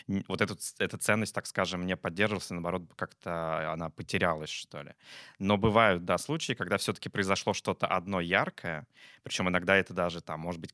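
A man's voice: low-pitched, fairly bright tone, no room sound, clean studio sound. A man co-hosting a talk as a psychologist, chatting casually and reflectively, often searching for words. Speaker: psychologist and talk show co-host.

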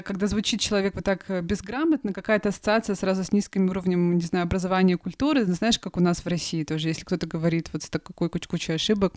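A woman's voice: lowish voice, darkish tone, no echo, clean studio sound. A woman hosting a podcast, explaining her views thoughtfully with a few hesitations. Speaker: podcast host. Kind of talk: casual podcast chat.

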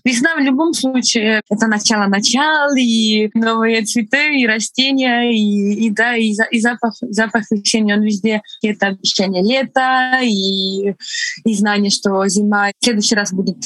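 A woman with a mixed foreign accent and Estonian as her first language, talking in a relaxed interview with a fairly high voice. She laughs a lot and feels her way through her sentences with small restarts. The sound is close and dry. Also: no echo. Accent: foreign, mixed from several languages. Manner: laughs often, thoughtful with halting restarts. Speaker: guest.

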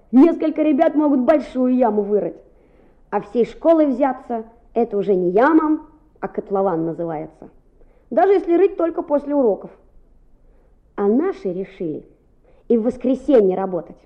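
An actress playing a lively, cheeky schoolboy who narrates his exploits in a radio play. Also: sits high, narrow, tinny audio. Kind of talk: radio play acting with first-person narration.

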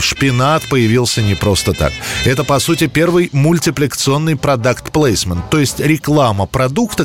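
Male history narrator over music. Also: medium pitch. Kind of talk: scripted storytelling narration.

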